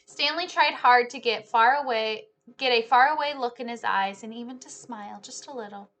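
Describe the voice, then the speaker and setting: A woman in her thirties reading a children's story aloud in an expressive, animated way, indoors on a webcam. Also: fairly high pitch, normal speed. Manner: animated, hushing and swelling with the story. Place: small living room, indoors. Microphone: webcam at desk distance.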